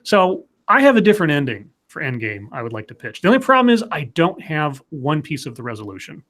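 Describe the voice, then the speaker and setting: A man co-hosts a casual live stream about comics and movies. His voice sits on the higher side. He rambles through his points with plenty of fillers and restarts. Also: sing-song, a little fast, a bit bright. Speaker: podcast co-host.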